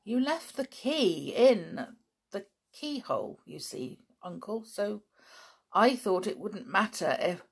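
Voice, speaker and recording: A woman's voice: medium pitch, big pitch swings, takes it slowly, vocal fry, husky, full character voice. A woman voicing a sensible older boy explaining himself politely. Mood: apologetic. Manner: apologetic and careful.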